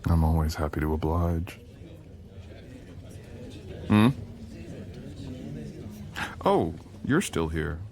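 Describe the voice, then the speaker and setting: A man's voice: very deep voice, expressive, very slow, pauses often, a little mellow, full character voice. A man playing a powerful, dangerous shadow being, a smug and possessive boyfriend, in an intimate ASMR audio roleplay with dramatic, teasing delivery.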